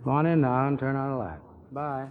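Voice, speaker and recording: An actor playing a brisk, protective amateur sleuth in a 1940s radio mystery. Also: male voice, mid-range voice, steady tone, natural voice. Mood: friendly, conspiring. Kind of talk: 1940s radio drama acting. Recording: dull, muffled old radio recording.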